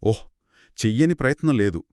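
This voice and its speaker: Animated man, analytical co-host. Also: mid-range voice, a little fast, no room sound, studio quality. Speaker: podcast co-host. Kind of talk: conversational story discussion.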